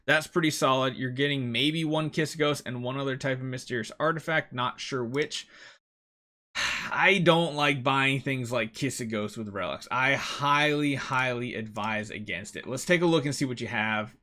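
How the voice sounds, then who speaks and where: A man with a bright, forward voice hosts a gaming video. He talks casually through gameplay advice, leaning on key words and repeating them for emphasis. His pitch sits in an ordinary middle range for a man. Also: moderate pace.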